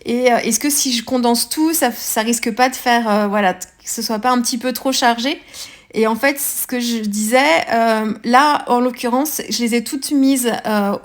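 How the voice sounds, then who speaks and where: Chatty French woman, halting craft talk. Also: a little high. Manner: hesitant, many fillers and restarts. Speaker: scrapbooking vlogger. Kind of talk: casual craft tutorial narration.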